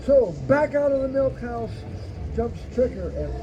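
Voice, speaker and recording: A man's voice: lively intonation, a little slow, dark tone, highs cut off. A man narrating a tall animal tale as live storytelling, in a scripted performance. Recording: noisy outdoor live recording, traffic rumble.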